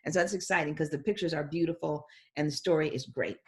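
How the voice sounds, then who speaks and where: A woman reading a picture book aloud to young children in an expressive voice, pausing to chat casually about the illustrations. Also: low-pitched. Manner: expressive, acts out sound words. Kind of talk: read-aloud storytelling with casual commentary.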